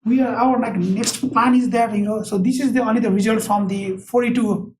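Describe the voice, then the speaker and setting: Researcher type, Nepali accent, non-native English, searching for words, faint noise behind him. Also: male voice, high-pitched, steady tone, distant mic. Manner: halting, many "like, you know" fillers. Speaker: university researcher. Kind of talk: meeting presentation Q&A.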